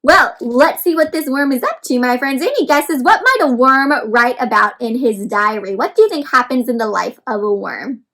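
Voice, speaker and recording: A woman's voice: sits high, sing-song, moderate pace, hardly any pauses, fairly bright tone. A woman hosting an interactive read-aloud for children, speaking expressively and instructively and often putting questions to her young listeners.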